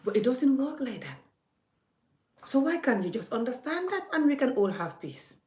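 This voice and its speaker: A woman's voice: sits high, sing-song, normal speed, a little mellow. A woman vlogging in a forthright, scolding way, speaking straight to the viewer as she makes her case. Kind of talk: impassioned vlog rant. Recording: echoey room.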